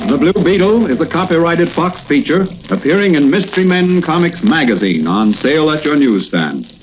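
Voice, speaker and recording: A man reading old radio promos in a crisp, formal announcing style. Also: on the high side, lively intonation, moderate pace, music in the background. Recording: dull, noisy old radio broadcast.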